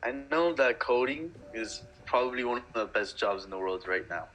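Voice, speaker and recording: Bright-voiced, slangy young man. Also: medium pitch, animated, moderate pace, slight background noise, rough recording. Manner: laid-back, slangy, swears a lot. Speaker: livestream host. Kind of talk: casual chat. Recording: faint background music.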